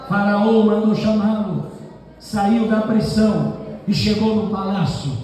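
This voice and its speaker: A man preaching a fervent sermon as pastor, often shouting, whose first language is not Portuguese. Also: high voice, fairly even intonation, measured pace. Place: large church hall. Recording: roomy hall from far off, congregation.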